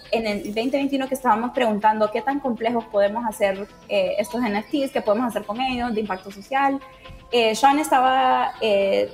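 Woman with a fairly high voice. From Honduras. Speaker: interviewee, project team member. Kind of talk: casual interview answers.

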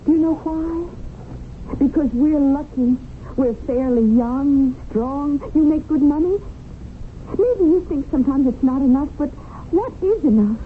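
An actress playing an earnest, idealistic young schoolteacher wife in a radio drama, gently pleading and moralizing. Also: female voice, high-pitched, measured pace. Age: young adult. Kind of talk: 1970s radio drama acting. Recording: tinny, noisy old radio broadcast.

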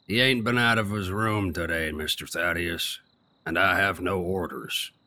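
A man playing a gruff, stubborn ex-prizefighter gatekeeper in an audio drama, with rough working-class speech and grammar. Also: low voice, measured pace, pushed and bright, a little hiss or hum.

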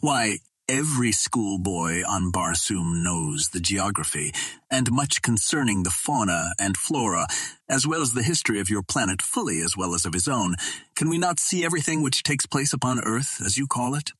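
A man's voice: on the low side, lively intonation, moderate pace, a bit bright, husky, no room sound. A man voicing a proud, indulgent young Martian princess in an audiobook, speaking in formal, lofty sentences. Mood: amused, assured.

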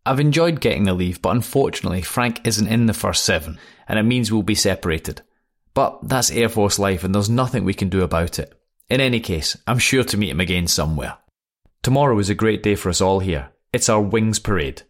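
A man's voice: on the low side, expressive, brisk. A man reading as a young airman writing home. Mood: earnest. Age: young adult. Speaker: letter reader. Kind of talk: reading a wartime letter aloud.

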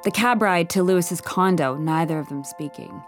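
A woman's voice: on the low side, normal speed. A woman delivering scripted third-person story narration as the narrator of an audio drama. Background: music.

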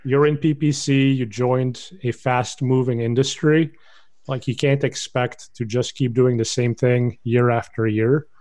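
A man's voice: medium pitch, steady tone, a little slow, darkish tone. A man in his forties from Belgium, Dutch his first language, a podcast guest explaining things in an easygoing way with fillers. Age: 40s. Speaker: guest, company founder. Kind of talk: casual interview chat.

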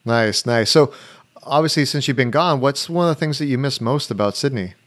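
A man hosting a travel podcast, chatting in a relaxed, halting way with many restarts. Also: medium pitch. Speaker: podcast host. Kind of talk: casual interview chat.

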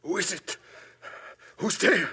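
A guilt-stricken refugee. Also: male voice, sits high, expressive, slow, pushed and bright, breathy edge, exaggerated voice. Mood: desperate. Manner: anguished, pleading, trembling. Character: frightened German refugee man, guilt-ridden murderer. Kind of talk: 1950s radio drama acting. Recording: old broadcast with faint music.

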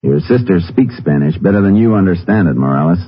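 Deep-voiced, firm lawman. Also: expressive, fairly quick, noisy background. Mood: stern, probing. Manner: terse, controlled, authoritative. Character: calm, firm, probing Texas Ranger lawman. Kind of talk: 1950s radio drama acting and narration. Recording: muffled old radio recording.

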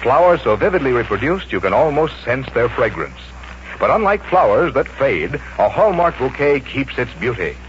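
Male radio announcer, animated polished sales read, 1940s style, dull old recording, faint music. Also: mid-range voice, moderate pace, a little hiss or hum. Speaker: announcer reading sponsor advertisement. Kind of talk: 1940s radio scripted advertising.

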